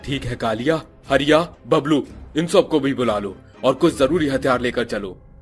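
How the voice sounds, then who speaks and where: A man's voice: mid-range voice, moderate pace, faint music behind, natural voice. A man voicing a stern middle-aged village headman in a cartoon, firm and commanding. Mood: wary.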